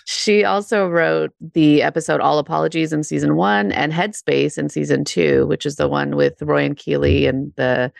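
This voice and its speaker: A woman's voice: deep voice, steady tone, normal speed, vocal fry, few pauses, no echo, studio quality. A woman co-hosting a podcast, under the weather and a bit nasal, chatting casually and laughing often. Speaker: podcast co-host.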